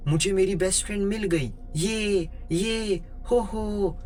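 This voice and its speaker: A man's voice: a little high, expressive, normal speed, fairly bright tone, voice pushed up. A man voicing a crazed, gleefully obsessed young girl in a horror story, shrieking and repeating phrases manically. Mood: manic glee. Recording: music behind the voice.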